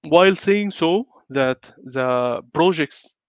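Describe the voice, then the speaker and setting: A man speaks with a congested, flu-thickened voice, pausing often on fillers and restarting his sentences as he presents. The audio is dry and slightly muffled, like a lo-fi call recording. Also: a little high, expressive, no echo. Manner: hesitant, many fillers and restarts. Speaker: guest speaker on building information modelling. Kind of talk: online webinar presentation.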